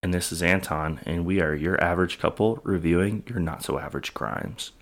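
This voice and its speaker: A man's voice: sits low, moderate pace, creaky. A man co-hosting a podcast, chatting casually and chipping in with comments. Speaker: podcast co-host. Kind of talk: casual chat.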